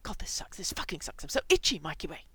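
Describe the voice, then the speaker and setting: A woman voicing a manic, itchy male hamster in an audio story, excitable and breathless in a slangy way. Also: deep voice, quick pace, breathy edge, no room sound, full character voice. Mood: exasperated.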